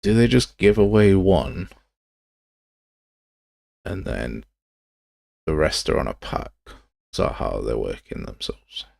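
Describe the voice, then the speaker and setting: A man hosts a casual livestream in a low voice with plenty of vocal fry. His pitch moves around a lot as he grumbles and thinks aloud. The sound is close and dry. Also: big pitch swings, slow pace, long pauses, darkish tone, no echo. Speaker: livestream host. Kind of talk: casual livestream commentary while browsing software assets.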